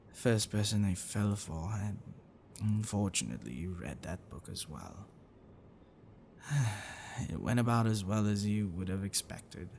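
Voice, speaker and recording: A gentle, bookish half-dragon boyfriend, acted by a man in an intimate ASMR roleplay. His voice is low and gravelly, with lively swings in intonation. He speaks haltingly and tenderly, as if apologizing to a sweetheart. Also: slight background noise. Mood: regretful, tender. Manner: halting, soft chuckles and sighs, dropping to whispers.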